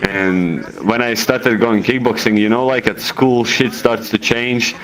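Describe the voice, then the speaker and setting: A young man with a Latvian accent tells a personal story in casual chat, halting as he searches for words and swearing freely. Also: medium pitch, fairly even intonation, fairly bright tone, low-quality recording.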